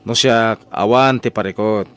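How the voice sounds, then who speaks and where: A man with an Ilocano Filipino accent playing an exasperated, defiant husband in an audio drama, emphatic and expressive. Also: fairly low pitch, a little slow, clean tone, faint music behind. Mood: indignant, admonishing. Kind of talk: radio-style audio drama acting.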